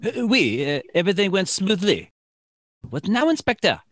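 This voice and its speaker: An actor playing an eager, flustered French police sergeant with a French accent and a hesitant, deferential manner in a radio play. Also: male voice, sits high, sing-song, normal speed, fairly bright tone, dry recording, clean studio sound, heavily acted. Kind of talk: comic radio play dialogue.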